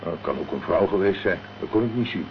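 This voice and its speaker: Plain-spoken low-voiced caretaker. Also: male voice, lively intonation, fast. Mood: plain, matter-of-fact. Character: plain-spoken, uneasy male building caretaker, eyewitness. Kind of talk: Dutch radio drama acting. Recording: dull old radio recording, faint noise.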